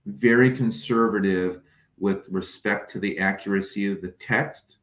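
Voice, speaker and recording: Low-voiced scholarly man, roomy echo. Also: fairly bright tone. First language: English. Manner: measured, careful, precise. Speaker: Hebrew scholar, interview guest. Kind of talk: scholarly explanation, sometimes reading aloud. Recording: echoey, roomy sound.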